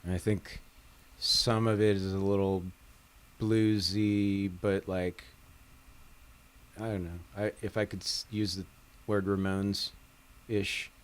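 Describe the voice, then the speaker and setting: A man in a band giving a casual interview, halting and tongue-in-cheek. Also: lowish voice, level tone, smooth. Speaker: band member being interviewed.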